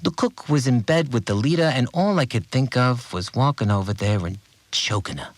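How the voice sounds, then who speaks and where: An actor playing an obsessive, resentful cleaner confiding in a dramatic audio diary, agitated and brooding. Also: male voice, medium pitch, expressive, moderate pace, no room sound, natural voice. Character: obsessive, unhinged male cleaner, seething with murderous resentment. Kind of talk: dramatic first-person audio diary monologue.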